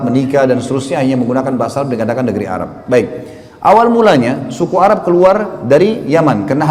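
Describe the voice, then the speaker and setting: A man's voice: fairly high pitch, a little mellow. A man preaching in a mosque, explaining religious history to his listeners and repeating key phrases for emphasis. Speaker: Islamic preacher. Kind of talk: sermon-like history lecture to a congregation. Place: mosque, speaking by the mihrab. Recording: long hall reverb.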